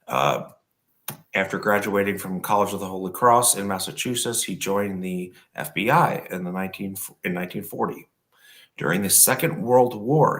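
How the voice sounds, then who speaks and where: Hoarse, low-voiced male podcast host, relaxed and chatty. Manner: relaxed, chatty, with frequent fillers. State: hoarse, recovering from illness. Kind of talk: casual chat, reading notes aloud.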